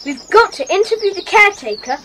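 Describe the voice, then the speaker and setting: A very high, animated young boy in a children's mystery play. He sounds eager and earnest, with faint noise behind the voice. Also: brisk, heavily acted. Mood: curious, eager. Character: bold, inquisitive young boy detective. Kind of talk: children's audio drama acting.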